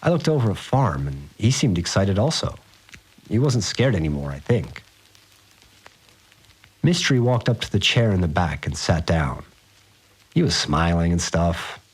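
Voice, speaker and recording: An actor playing an awed, rough-spoken working man who recounts strange events in a slangy, rambling audio diary for a fiction podcast. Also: low-pitched, big pitch swings, measured pace, long pauses, exaggerated voice, poor audio. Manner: slangy, rambling, unsure of his words. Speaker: fixer, a manual worker.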